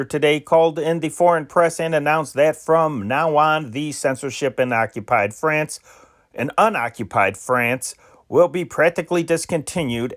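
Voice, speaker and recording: A man's voice: on the high side, fairly bright tone. A man reading old newspaper news dispatches aloud in an amateur style, with occasional slips and restarts. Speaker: reader of historic news dispatches.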